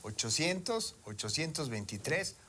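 A man with a Mexican Spanish accent speaking for a charity on a live telethon, explaining patiently and repeating details slowly. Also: a little high, big pitch swings, sharp tone, a little hiss or hum. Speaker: charity representative.

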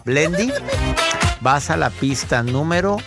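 A man's voice: a little high, lively intonation, slow. Male radio host, playful sing-song banter, music bed. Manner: playful, jokes and sings out names. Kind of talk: casual radio talk with ad reads.

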